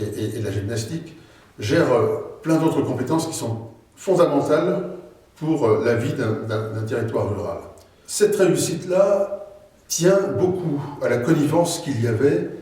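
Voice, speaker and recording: A middle-aged or older man gives a formal, deliberate campaign address as a local politician, with hesitant pauses. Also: mid-range voice, mellow. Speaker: local politician, incumbent mayor seeking re-election. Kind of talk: campaign address, semi-prepared speech. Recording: very echoey room, distant microphone.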